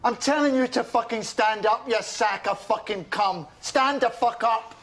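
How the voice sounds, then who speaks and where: A man's voice: on the high side, lively intonation, a little fast, natural voice. An actor playing a ferociously angry government spin doctor, a profane and sarcastic Scottish man in a scripted screen satire. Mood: furious. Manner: profane, menacing, sarcastic, bullying. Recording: noisy location film or TV sound.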